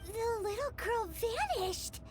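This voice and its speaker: A woman voicing a tiny, childlike, excitable fairy companion in a video game, squeaky and eager. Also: unhurried, music in the background. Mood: startled. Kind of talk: cartoonish video game acting.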